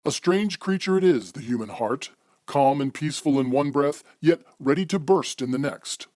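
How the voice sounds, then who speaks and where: A man with a fairly high voice introduces an audio drama as its host. He speaks formally and theatrically, in the style of old-time radio. The sound is close and dry, with no room around it. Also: animated, normal speed, darkish tone, no room sound.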